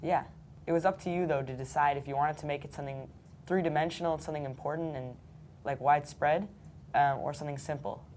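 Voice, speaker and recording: An eighth-grade girl with a very low voice talks casually about a lesson, using plenty of fillers. Faint room ambience sits behind her. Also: fairly even intonation, fast, a little mellow, slight background noise. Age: young teen. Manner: casual, uses many "like" and "um" fillers. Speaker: student.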